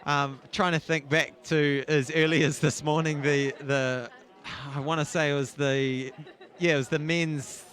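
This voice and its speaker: A man with a husky voice co-commentating live athletics, enthusiastic and chatty. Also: on the high side, level tone, a little slow, fairly bright tone.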